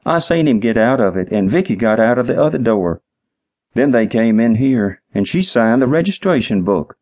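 A man's voice: mid-range voice, expressive, normal speed, a little mellow, voice pushed up, close to an everyday voice. A man voicing a dim-witted, eager hotel night manager in his sixties, with folksy, literal speech in an audiobook story. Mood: eager, simple.

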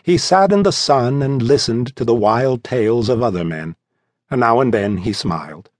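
Expressive male audiobook narrator, very animated. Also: mid-range voice, normal speed, dry recording. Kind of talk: scripted dramatic narration. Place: dry studio.

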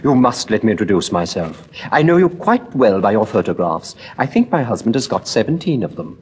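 A man's voice: very animated, a little mellow, a little hiss or hum. A man voicing a chatty, scatterbrained society lady in a storybook reading, with flighty chatter. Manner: rapid, flighty, high-pitched chatter.

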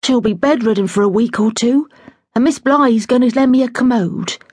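A woman's voice: on the high side, normal speed, darkish tone, no room sound, clean studio sound, voice pushed up, close to an everyday voice. A woman doing a fussy housekeeper. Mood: bossy. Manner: fussy, dramatic, blunt. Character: flustered middle-aged female housekeeper, bossy.

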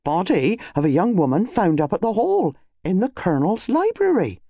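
Man acting an officious, animated village constable. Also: sits high, normal speed, gravelly, no room sound, pitched above the performer's own voice, full character voice. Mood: intrigued. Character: officious, deferential English village police constable.

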